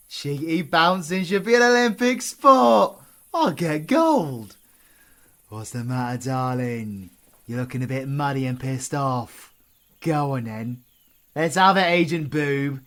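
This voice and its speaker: A man's voice: a little high, a little slow, fairly bright tone. A British man playing a cocky, sarcastic, menacing supervillain in an audio roleplay. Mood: gleeful, goading. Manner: sarcastic, theatrical, foul-mouthed British slang. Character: cocky, taunting supervillain, flippant yet menacing killer. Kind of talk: ASMR audio roleplay acting.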